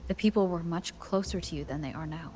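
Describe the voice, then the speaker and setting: An actress voicing a calm, observant female guide in a video game, composed and explanatory. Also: on the low side, a little fast, soft background music, natural voice.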